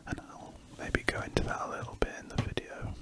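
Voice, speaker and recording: ASMR drawing host, gentle whispered chat, rambling and unhurried. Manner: soft, meandering, casual whispering with hesitant asides.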